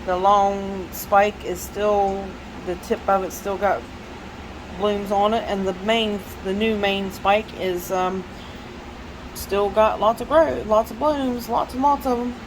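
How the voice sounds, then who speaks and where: Slow, chatty Southern woman with a head cold. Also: mid-range voice, fairly even intonation, background noise. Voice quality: nasal when bending over. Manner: chatty, rambling with many fillers and restarts. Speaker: orchid grower giving a plant tour. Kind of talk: casual walk-through vlog narration.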